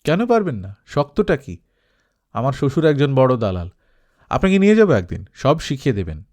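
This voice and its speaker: A man playing a chatty, encouraging uncle in a story, with an animated, familiar manner. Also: medium pitch, normal speed, lightly acted.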